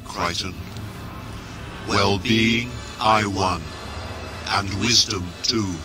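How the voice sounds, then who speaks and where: An actor playing a cryptic, solemn oracle in an audio drama, measured and portentous. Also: male voice, on the low side.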